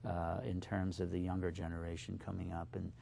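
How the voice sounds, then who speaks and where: A man whose first language is Slovak, a poet guest giving thoughtful, halting interview answers with many fillers. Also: deep voice, completely flat delivery, creaky, mellow, a little hiss or hum.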